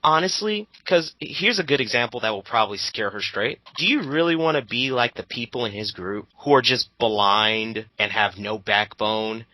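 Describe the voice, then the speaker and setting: A man's voice: fairly low pitch, pushed and bright, no echo, rough recording. A man giving casual group commentary in a blunt, exasperated way with plenty of swearing.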